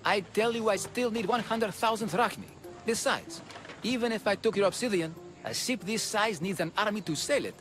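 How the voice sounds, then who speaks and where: Man acting higher-pitched haggling shipbuilder. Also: moderate pace, natural voice. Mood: firm, dismissive. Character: hard-bargaining, hearty male shipbuilder selling his ship. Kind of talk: video game cutscene acting. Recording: loud background music.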